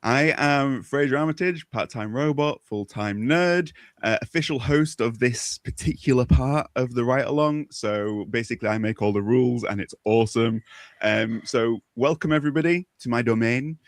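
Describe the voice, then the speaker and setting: A man's voice: medium pitch, moderate pace, no echo. A man hosting a casual livestream chat in a playful, jokey, enthusiastic way. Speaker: host.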